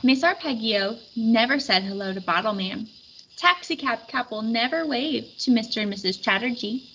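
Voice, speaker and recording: Woman, storybook reader, expressive read-aloud, high-ish voice, faint hiss. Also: normal speed, lo-fi sound. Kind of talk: children's picture book read-aloud.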